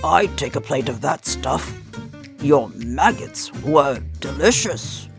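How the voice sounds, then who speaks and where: Lady doing a big hungry ogre guy from a kids book, gravelly n blunt, talks slow, music under it. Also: low voice, expressive, voice pushed down, heavily acted. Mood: gruff satisfied. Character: giant tusked male ogre, blunt and hungry.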